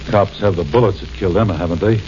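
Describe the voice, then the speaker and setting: A wary, blunt mob tipster, played by a man, his voice fairly low and his slang plain. The old radio transcription is muffled, with faint hiss. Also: rapid-fire, darkish tone, natural voice. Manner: low, blunt gangster talk. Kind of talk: 1940s radio drama acting.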